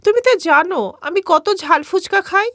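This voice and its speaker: A woman's voice: very high-pitched, lively intonation, normal speed, pushed and bright, no echo, clean studio sound, full character voice. A woman voicing a confident, cheeky young daughter-in-law in a cartoon story, chatty and cajoling.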